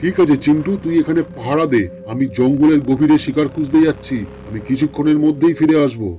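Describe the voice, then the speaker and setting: A man voicing a bright-voiced menacing cartoon lion king. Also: fairly high pitch, fast talker, lo-fi sound, soft background music, close to an everyday voice. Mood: menacing, bossy. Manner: bossy, threatening. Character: menacing, hungry, gullible lion king of the jungle. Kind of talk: children's animated folk tale acting.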